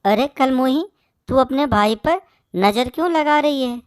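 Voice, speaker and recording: A cruel cartoon mother bird, played by a woman, speaks in a high voice. She scolds harshly and draws her words out slowly, favouring her son over everyone. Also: clear, resonant tone, no room sound, studio quality. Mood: scolding, dismissive. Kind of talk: Hindi moral-story cartoon dub.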